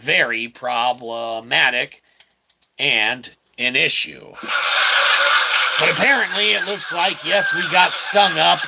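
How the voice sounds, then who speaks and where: Male gaming host, heavily creaky voice, slightly high-set, casual and wordy playthrough commentary, noisy recording. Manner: mock-formal, wordy phrasing mixed with slang; refers to himself as "we". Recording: clearly noisy background.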